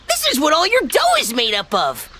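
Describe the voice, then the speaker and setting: High, bright, cocky little cookie. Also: female voice, wildly swooping pitch, normal speed, slight background noise, full character voice. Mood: knowing. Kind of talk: animated game cutscene voice acting.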